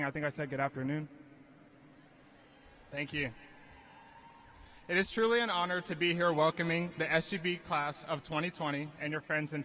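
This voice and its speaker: A teenage boy giving a measured, earnest graduation speech. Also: on the high side, pushed and bright, slight background noise. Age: teens. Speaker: student speaker. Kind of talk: prepared ceremony speech. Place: large stadium.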